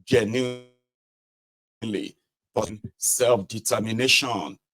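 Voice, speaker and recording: A man hosts a livestream reading podcast. He talks to his audience in a conversational way at a middling pitch. He often checks in with short tags to keep listeners with him. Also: fairly even intonation, takes it slowly, sharp tone. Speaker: podcast host reading a book aloud. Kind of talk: casual livestream talk to listeners.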